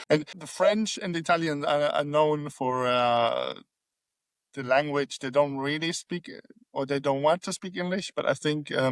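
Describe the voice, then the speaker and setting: A man's voice: mid-range voice, vocal fry, no room sound. A man hosting a podcast, asking questions casually with many fillers and restarts. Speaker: podcast host. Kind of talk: casual interview talk.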